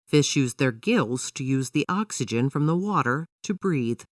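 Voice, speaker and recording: A woman's voice: very deep voice, animated, moderate pace, no echo, studio quality, distant mic. A woman voicing a patient father who explains things to his young daughter in a children's storybook, in an instructive manner. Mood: explaining.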